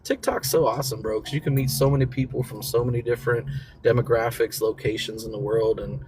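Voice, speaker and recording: An American man with a mid-pitched voice talks over clearly audible music. He hosts a casual motivational show in slangy, encouraging language. He drives points home by repeating key phrases. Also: fairly even intonation, quick pace, rough recording. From southern United States (Mississippi and Florida). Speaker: talk show host. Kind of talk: casual motivational livestream talk. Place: in a vehicle during a commute.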